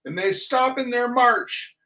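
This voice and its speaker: A man giving a theatrical reading of a humorous text in a mock-solemn, deliberate manner with dramatic pauses. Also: very high-pitched, sharp tone. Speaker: reader of a comic essay.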